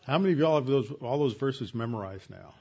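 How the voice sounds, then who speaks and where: A man, a pastor leading a Bible class with announcements, recited verses and prayer, talking in a halting way with many fillers and restarts. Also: mid-range voice, animated, warm tone. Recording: dull lo-fi sound.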